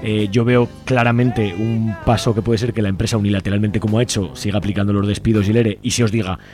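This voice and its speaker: A man's voice: lowish voice, steady tone, fast, a little mellow, faint music behind. A man with an accent from Spain hosting an informal radio interview, conversational and often checking for agreement. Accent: Spanish from Spain. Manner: frequently seeks agreement with tag questions.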